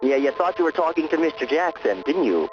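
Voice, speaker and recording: A man's voice: fairly high pitch, very animated, a little fast. A man answering back in conversation on a lo-fi recorded phone call. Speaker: prank call recipient. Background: music.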